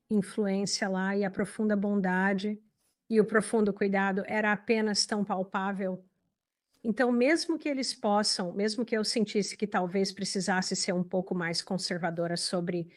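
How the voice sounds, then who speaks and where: Earnest Brazilian woman in a dubbed interview. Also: mid-range voice. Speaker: spiritual teacher and guest.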